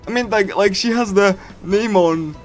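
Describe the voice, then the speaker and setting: Male streamer, slightly high voice, giggly and hesitant casual readthrough over game music. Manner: halting, repetitive, giggly. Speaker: livestreamer reading a visual novel.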